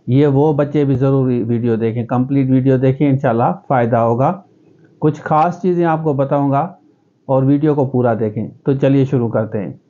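A man's voice: mid-range voice, phone-quality sound. A middle-aged man from Pakistan with a Sindhi accent, a native Sindhi speaker, giving earnest advice to camera as an educationist in a small room. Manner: earnest, gestures with both hands. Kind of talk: direct-to-camera advice vlog.

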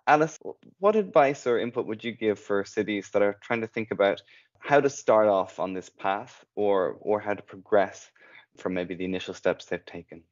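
A man hosting a podcast, summing up and asking a guest a question in a measured way. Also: fairly low pitch, lo-fi sound. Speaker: podcast host. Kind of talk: interview questions.

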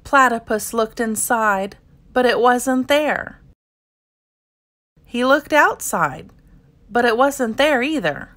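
Casting: female children's storybook reader, highish pitch, very lively intonation, expressive and slow-paced narration.

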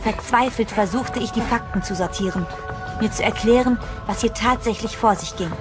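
A woman's voice: fairly high pitch, normal speed, natural voice. A woman narrating a German horror radio drama in the first person, as a tense, bewildered storyteller. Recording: music under the narration.

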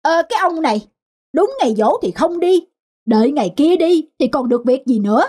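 A woman's voice: high voice, lively intonation, mellow. A woman voicing a nagging, skeptical middle-aged wife in a folk-tale cartoon, chiding over music. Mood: exasperated. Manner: brisk, chiding. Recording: music behind the voice.

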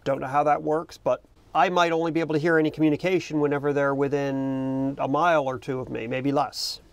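Middle-aged man with a creaky voice. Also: a little high, level tone, a little fast. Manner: conversational, unscripted. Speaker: boating video host. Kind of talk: casual explanatory talk to camera. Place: outdoors in the cockpit of a small parked boat.

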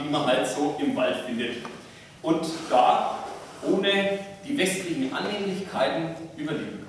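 Young man, pastor, conversational sermon, very reverberant church, slightly distant from the mic. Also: a little high. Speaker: pastor preaching. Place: inside a church, from the pulpit. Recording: echoey church, distant, faint noise.

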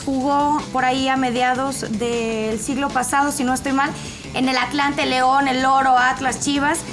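A young Mexican woman hosting a TV sports panel show, chatty and teasing as she reads out a list with asides. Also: high voice. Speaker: host. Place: TV studio set with audience. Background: music.

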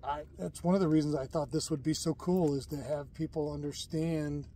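A man's voice: a little high, steady tone, brisk, warm tone, slight background noise. A man hosting a fishing show outdoors by a lake, chatting casually and rambling with fillers and restarts. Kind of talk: casual outdoor chat and demonstration. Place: lakeside outdoors.

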